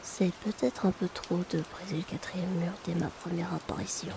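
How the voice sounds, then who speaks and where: A polite young-spirited potion delivery assistant played by a woman speaks in a crackly, often whispered ASMR roleplay voice. The manner is hesitant and confiding, chatty yet discreet. Rain ambience sits clearly behind the voice. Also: on the low side, little pitch movement, moderate pace. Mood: friendly, playful.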